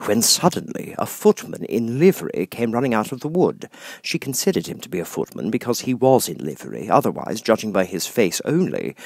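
Animated male storybook narrator. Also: on the low side, no echo. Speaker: audiobook narrator. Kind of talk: expressive scripted storybook narration.

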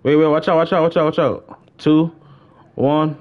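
Young guy livestreaming a game, slangy casual talk, repeats himself, excitable, kinda muffled mic. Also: a little high, normal speed. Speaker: live game streamer. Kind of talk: casual livestream gaming chat.

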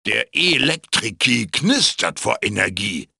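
A male actor voicing a wise, quirky wooden mask spirit in a video game, raspy, theatrical and wry as he guides the listener. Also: on the low side, big pitch swings, a little slow, pushed and bright, dry recording, clean studio sound, heavily acted. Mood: theatrical, ominous.

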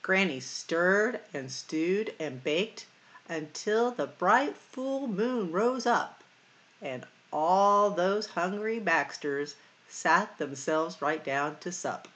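Female storytime host, middle-aged. Unhurried, very lively intonation, dramatic sing-song delivery for young listeners. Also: on the low side. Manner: sing-song, dramatic emphasis and drawn-out words. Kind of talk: expressive picture-book read-aloud for children.

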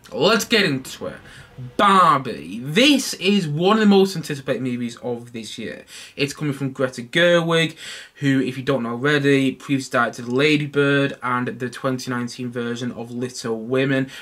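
A young man hosting his own film review podcast from a small home room, talking casually and animatedly, with a stuffy nose from hay fever. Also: mid-range voice. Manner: animated, waves his hands while talking. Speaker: podcast host.

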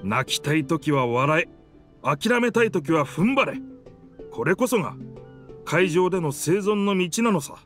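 A hearty but wistful boat spirit from an anime game, speaking like a rough old seafarer. The voice sits a little high and moves widely in pitch, with expressive sighs. Faint music runs underneath. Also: male voice, a little slow. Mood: resolute sadness. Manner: rough sailor phrasing, expressive, sighing. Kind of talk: Japanese anime game acting.

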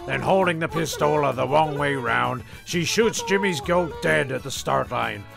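A man's voice: fairly high pitch, normal speed, creaky, over music. A man playing an irritable, sardonic narrator of an island comedy, telling it like a storybook with grumpy asides. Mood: dry narrating. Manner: exasperated, sardonic. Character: irritable, foul-mouthed male narrator of an island farce. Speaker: narrator of a comedy audio series.

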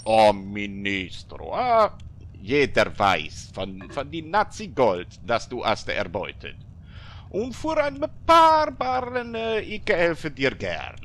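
A sly Italian mobster from a parody audio drama. His accent is thick and his grammar broken, padded with little "ah"s. The pitch leaps about wildly while the pace stays leisurely. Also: wildly swooping pitch, slow pace, fairly bright tone, heavily acted. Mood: eager, sly. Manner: broken German with filler sighs and Italian words. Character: jovial scheming Italian mobster, eager to please for a price. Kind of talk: parody detective radio play.

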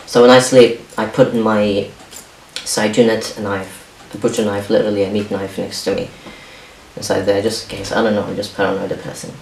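Halting, soft-spoken young man, native Arabic speaker. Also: on the low side, little pitch movement, vocal fry. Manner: halting, hesitant, soft-spoken with frequent restarts. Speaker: vlogger. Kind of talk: confessional personal vlog. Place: small home kitchen, indoors. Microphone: camera on a stand a few feet away. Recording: roomy distant camera mic with faint hiss.

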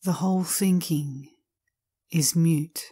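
A woman with a low, mellow voice guides a meditation. She reads very slowly and calmly, setting out each short phrase on its own and leaving space after it. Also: slightly rough. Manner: calm, measured, short phrases with long pauses. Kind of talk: slow guided meditation reading.

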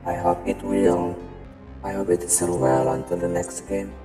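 A man chatting casually as he makes a gaming prank video, his voice run through a deep voice changer. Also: monotone, measured pace. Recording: echoing altered voice, music behind.

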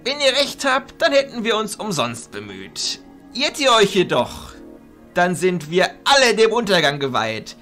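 A man playing an elderly, authoritative arch-chancellor of illusionists in a fantasy audio drama, speaking in a theatrical, deliberate way. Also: high-pitched, wildly swooping pitch, bright, forceful tone, soft background music, full character voice. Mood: commanding, grave.

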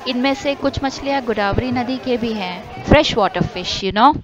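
A woman's voice: on the high side, moderate pace, lo-fi sound, a crowd in the background. A woman narrating a travel vlog as host in a chatty, enthusiastic way, switching between Hindi and English. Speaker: vlogger host. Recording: voice-over with clear background music.

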